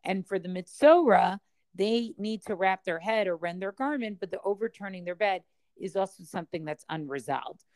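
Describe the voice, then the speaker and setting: A woman's voice: medium pitch, moderate pace, a little mellow, no room sound. A woman teaching in a study session, explaining at length and thinking aloud with frequent ums and hedging words.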